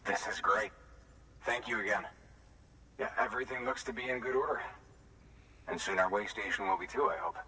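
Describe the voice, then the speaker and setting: An actor playing a weary way station worker in a video game, earnest and emotional, frustrated yet hopeful, with halting delivery. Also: male voice, lowish voice, bright, forceful tone, distant mic, a little hiss or hum, low-quality recording. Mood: subdued, grateful. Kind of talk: dramatic video game acting.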